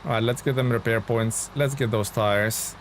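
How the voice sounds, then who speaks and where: A man's voice: medium pitch, fairly even intonation, normal speed. Man streaming casually over engine noise. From Lithuania. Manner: friendly, informal, thinks aloud. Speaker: video game livestreamer. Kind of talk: casual gaming stream chat and commentary. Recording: clear vehicle and engine noise behind. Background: truck game sounds.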